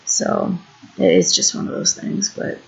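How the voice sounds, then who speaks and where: A woman's voice: fairly low pitch, wildly swooping pitch, measured pace, creaky, husky, muffled recording. A woman of about thirty from Illinois, talking in a rambling, frustrated and self-deprecating way about her troubles for her vlog, sitting on a couch at home. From Illinois, USA. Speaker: vlogger. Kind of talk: candid personal vlog rant. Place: indoors on a couch.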